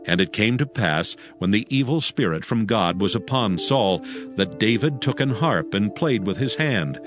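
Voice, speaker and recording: Man, scripture narrator, lowish voice, solemn, expressive intonation, music behind. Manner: solemn, measured storytelling.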